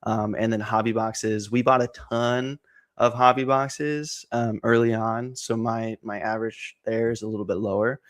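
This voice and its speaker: A man in his twenties, excitable and energetic, chatting casually about card breaks with lots of fillers. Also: on the low side, moderate pace. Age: 20s. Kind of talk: casual chat on a stream.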